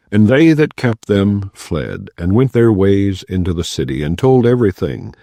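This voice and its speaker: A man giving a measured, solemn scripture reading. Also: deep voice, vocal fry, no echo, studio quality. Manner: measured, solemn, expressive intonation. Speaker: scripture reader.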